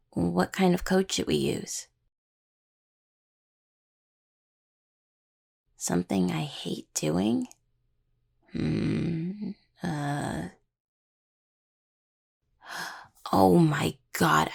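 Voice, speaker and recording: Casting: actress as young female necromancer healer for intimate audio roleplay; heavy vocal fry, lowish register, husky, affectionate, stubborn, halting casual delivery. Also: steady tone, full character voice. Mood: thoughtful. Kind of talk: intimate roleplay audio, one-sided dialogue to listener.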